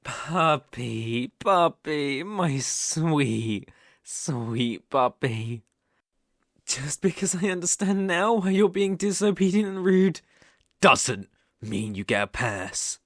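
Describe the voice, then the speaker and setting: A man's voice: mid-range voice, lively intonation, slow pace, no room sound. A British man playing an obsessive, possessive young kidnapper in an intimate ASMR roleplay, coaxing and menacing in a condescending way, close on the microphone. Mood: clingy, adoring.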